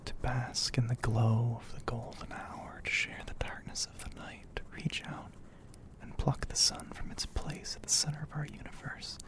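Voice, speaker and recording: A man narrating an ASMR story in a breathy, hushed whisper, poetic and full of pauses, close to the microphone. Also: medium pitch, steady tone. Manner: slow, hushed, poetic delivery with frequent pauses.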